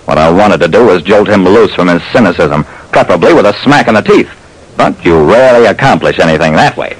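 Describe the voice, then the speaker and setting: A man's voice: deep voice, expressive, fairly quick, low-quality recording. A man playing a tough, wry private detective who narrates his cases in dramatic vintage radio style. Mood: dry, wry. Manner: wry, dramatic, clipped storytelling. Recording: old radio recording with faint noise.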